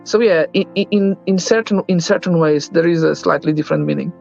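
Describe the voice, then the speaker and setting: Gravelly woman speaking slowly. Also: on the low side, a little mellow. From Poland. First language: Polish. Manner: slow, thoughtful, searching for words with many fillers. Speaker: documentary interviewee. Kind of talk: reflective documentary interview. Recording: dull film audio with faint music under it.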